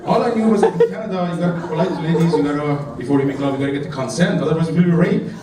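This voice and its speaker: A man from Iran with an Iranian accent doing stand-up at a comedy club, deadpan with acted quotes. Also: fairly high pitch, rapid-fire, recorded from a distance, heavy background noise. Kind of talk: stand-up routine. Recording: echoey club, mic from afar. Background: audience laughter.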